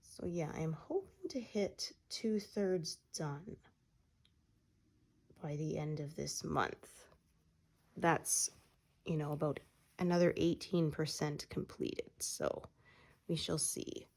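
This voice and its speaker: A woman with a low voice in easygoing, rambling chat, plenty of "uh"s as she goes. She is middle-aged and still getting over a cold, so her voice sounds a touch stuffy. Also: takes it slowly, long pauses. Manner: relaxed, meandering, frequent fillers. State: recovering from a cold, slightly congested. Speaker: cross-stitch vlogger. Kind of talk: casual chat while stitching.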